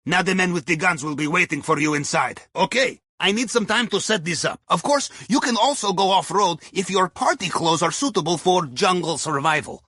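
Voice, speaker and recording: A man's voice: sits high, fairly quick, few pauses, pushed and bright, breathy edge, no room sound, clean studio sound, full character voice. An actor playing a sly, chatty Russian ex-navy helmsman in a video game, speaking with a Russian accent and foreign phrasing in a wry, conspiratorial manner. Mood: wry briefing. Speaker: mission guide over the radio.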